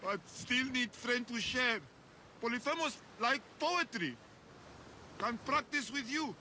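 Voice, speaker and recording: An actor playing a lonely, childlike cyclops giant in a video game, timid but friendly, talking in broken, simple words. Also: male voice, very high-pitched, lively intonation, slow pace, sharp tone, long reverb tail, slight background noise, exaggerated voice. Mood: eager, friendly. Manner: broken, simple-worded speech. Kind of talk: video game character acting.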